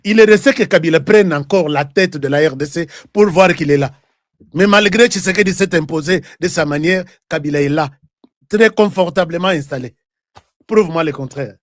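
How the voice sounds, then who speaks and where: A high-pitched man with a Congolese accent hosts an online political commentary, recorded close and dry. He is animated and argumentative, firing rhetorical questions at his viewers and repeating phrases for emphasis. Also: no echo. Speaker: online political show host. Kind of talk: live political commentary to viewers, mixing French and Lingala.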